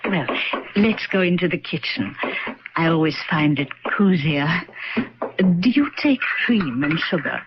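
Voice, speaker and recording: A woman's voice: sits low, lively intonation, a little slow, over music. Genteel, evasive old American woman, muffled radio. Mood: sociable, wistful. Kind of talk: 1970s radio drama acting. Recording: muffled old radio broadcast, clear noise.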